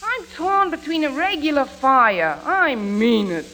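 Brash, high, sharp-voiced comic sweetheart. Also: female voice, very animated, unhurried, exaggerated voice. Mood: melodramatic, shocked. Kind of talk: 1940s radio comedy sketch acting. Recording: old hissy radio recording, audience.

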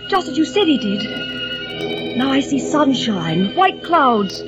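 An eager, adoring young sister. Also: female voice, high voice, huge pitch swings, slow pace, muffled recording, loud music behind the voice, exaggerated voice. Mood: entranced. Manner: breathless, eager, pleading. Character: young woman, devoted dreamy sister. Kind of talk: 1940s radio acting.

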